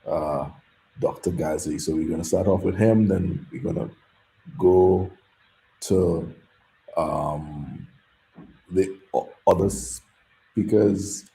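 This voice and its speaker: A man hosting an online event, introducing speakers and interviewing a guest, with drawn-out speech full of fillers. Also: low voice, creaky, mellow, slight background noise. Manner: drawn-out, filler-heavy delivery. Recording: echoey room.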